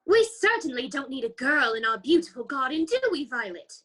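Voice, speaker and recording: A woman's voice: high-pitched, big pitch swings, a little fast, pushed and bright, exaggerated voice. A woman voicing a prissy, easily scandalized talking daisy in a children's readers theater play.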